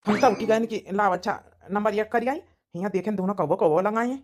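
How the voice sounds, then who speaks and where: A woman's voice: mid-range voice, a little fast, background noise, lightly acted. A woman voicing a suspicious, worried young village husband with an Awadhi accent, muttering and exclaiming in a comedy cartoon.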